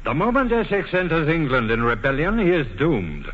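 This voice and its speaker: An actor playing a cold, scheming lord in a 1940s radio play, speaking with deliberate, insinuating theatricality. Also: male voice, fairly high pitch, very animated. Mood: coolly persuasive. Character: cold, scheming, pragmatic male lord, a conspirator. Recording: muffled 1940s radio recording, long reverb.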